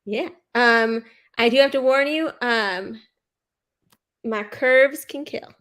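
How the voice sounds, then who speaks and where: A high-pitched woman tosses off puns in a playful, halting way, full of little fillers and giggles. She talks over a video call in quick-fire comedy banter. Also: fairly bright tone. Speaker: pun contest competitor.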